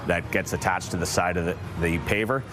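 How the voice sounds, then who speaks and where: Deep-voiced man explaining his work. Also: fairly even intonation, over music. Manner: plain, slightly halting explanation. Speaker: company representative. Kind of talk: news interview soundbite.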